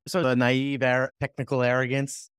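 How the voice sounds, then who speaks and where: A man's voice: medium pitch, completely flat delivery, normal speed, a bit bright, clear, resonant tone, no echo, studio quality. A man in his forties hosting a video call interview over a headset, asking questions with many hesitant fillers. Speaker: interview host. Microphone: over-ear headset.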